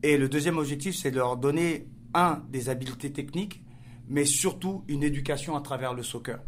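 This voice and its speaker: This is a man with a French accent from France, answering an interviewer as a soccer coach. His voice sits at a medium pitch for a man. He talks with frequent pauses and hesitations. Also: a little hiss or hum. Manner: hesitant, many pauses and fillers.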